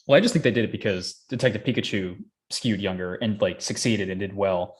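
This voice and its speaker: A man's voice: lowish voice, fairly quick. A young man chatting casually as a podcast host. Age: young adult. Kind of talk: casual chat.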